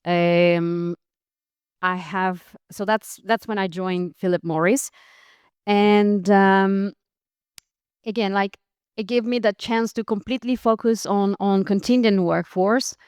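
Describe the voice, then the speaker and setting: A woman from Costa Rica talks through her career as a podcast guest. Her voice is smooth and resonant, and she laughs lightly and often, with plenty of fillers and restarts. The sound is dry, with no room around it. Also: mid-range voice, steady tone, takes it slowly, darkish tone, no echo. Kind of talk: interview conversation.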